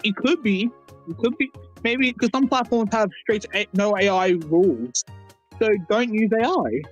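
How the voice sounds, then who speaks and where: A man's voice: sits high, expressive, normal speed, dull audio, faint music behind. A man who stutters and repeats words, chatting earnestly on a game livestream. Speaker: co-host. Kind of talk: casual livestream chat.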